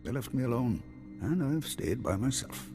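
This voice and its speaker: An actor playing an anxious, proud old hermit farmer and former guard in a video game, hesitant and halting. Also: male voice, medium pitch, animated, natural voice. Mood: grateful, proud. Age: older. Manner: hesitant, halting, repeating himself. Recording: long hall reverb, music behind.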